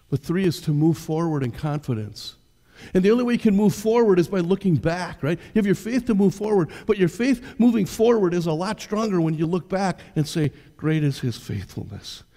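Older male pastor, conversational sermon delivery, mellow voice, reverberant church hall. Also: a little high. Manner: conversational preaching, direct questions to the congregation. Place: church stage.

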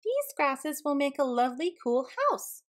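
A woman's voice: high voice, expressive, measured pace, pitched above the performer's own voice. A woman voicing a small young female dassie, an eager and cheerful sister in a storybook.

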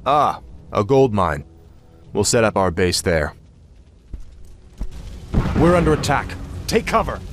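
A man's voice: on the low side, very animated, takes it slowly, pauses often, music in the background. A man playing a resolute young prince in a video game, speaking with confident, clipped command. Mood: alarmed. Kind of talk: video game cutscene acting.

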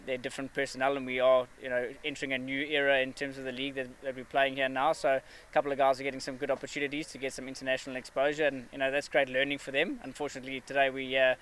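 Fast-talking man at an outdoor press interview. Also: mid-range voice, fairly bright tone. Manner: measured, many fillers and restarts. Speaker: cricket player at a press conference. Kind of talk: post-match press interview. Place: outdoor press area with a backdrop.

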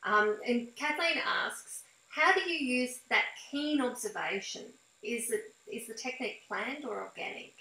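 A woman's voice: fairly high pitch, unhurried, few pauses, bright, forceful tone. A middle-aged woman interviewing someone over a video call, asking conversational questions in a hesitant, thoughtful way. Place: small indoor room. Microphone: video call webcam setup. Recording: roomy, echoey video call audio.

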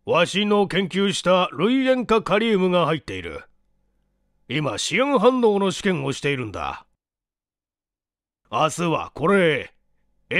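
A male narrator puts on an eccentric, cantankerous old scientist from a mystery audiobook. He is gruff and curt, with a fairly high, very animated pitch and a close, dry sound. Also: no echo, exaggerated voice. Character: cantankerous, eccentric older male professor who scolds. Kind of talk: audiobook character acting in Japanese mystery story.

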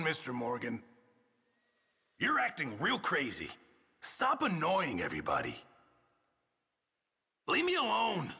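Gravelly, huffy Western camp cook. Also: male voice, on the high side, pushed and bright, big-room sound, full character voice. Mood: annoyed. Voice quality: gravelly, husky. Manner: flustered, huffy, self-important. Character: put-upon middle-aged camp cook in a Western, touchy, grumbling. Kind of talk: video game character barks.

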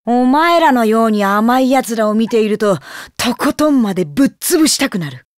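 A woman acts out a ruthless demon boy from an anime game. The voice is fairly high and dryly recorded. He talks with icy contempt and haughty menace. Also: lively intonation, normal speed, no echo, clean studio sound, lightly acted. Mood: menacing.

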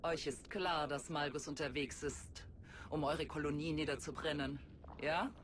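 An actress playing a haughty, sardonic villainess in a game, speaking in a theatrical, condescending way. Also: female voice, low-pitched, steady tone, moderate pace, slight background noise, lightly acted. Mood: mocking. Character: haughty, sardonic female Sith villain, wounded but scornful. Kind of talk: dramatic game voice acting.

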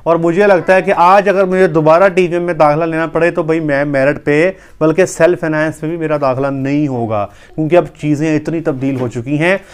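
Earnest man with smooth voice. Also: fairly high pitch. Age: 30s. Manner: earnest, explaining with lots of hand gestures. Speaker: veterinary doctor and YouTuber. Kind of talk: casual talk to camera. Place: small indoor room with wood-panelled wall. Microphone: lapel mic.

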